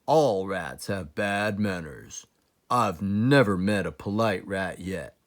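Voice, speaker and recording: A man's voice: low voice, expressive, slow pace, voice pushed down. A man voicing a plain-spoken male badger from a children's story, with an emphatic, declamatory delivery. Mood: disdainful.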